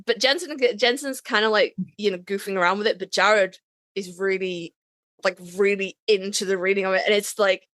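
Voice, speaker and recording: A woman's voice: medium pitch, steady tone, normal speed, fairly bright tone, dry recording. Enthusiastic woman co-host, dry close sound. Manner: informal, enthusiastic, sweary, repeats for emphasis. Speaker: podcast co-host. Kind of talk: casual chat.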